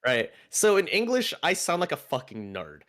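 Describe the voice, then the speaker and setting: A man whose first language is Cantonese, chatting loosely with friends on a podcast. His pitch sits a little high and swings around a lot as he talks. He is animated and self-mocking, and he laughs often. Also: normal speed. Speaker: podcast co-host. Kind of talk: casual chat among friends.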